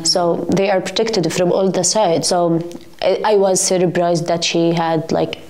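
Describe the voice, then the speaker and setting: A woman's voice: fairly low pitch, fairly even intonation, a little slow, a little mellow. A young woman in her twenties from Gaza, speaking English, answering earnestly with frequent fillers. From Gaza, Palestine. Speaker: student. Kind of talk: interview answers.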